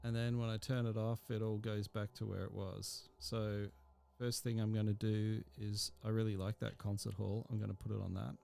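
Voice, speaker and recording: Casual male software instructor, mellow voice. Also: lowish voice, flat delivery, normal speed, creaky, few pauses. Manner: informal, thinking aloud while working. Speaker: instructor giving a software tutorial. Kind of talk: casual hands-on screen tutorial.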